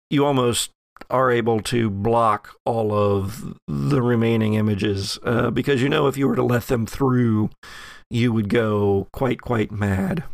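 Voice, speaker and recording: Male game master for horror tabletop play, gravelly, deliberate storyteller, very dry recording. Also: medium pitch, no room sound.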